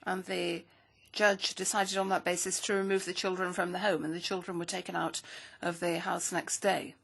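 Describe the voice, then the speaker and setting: A woman interpreting a speech into English at a parliamentary hearing, with a steady, measured delivery. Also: lowish voice, vocal fry, husky.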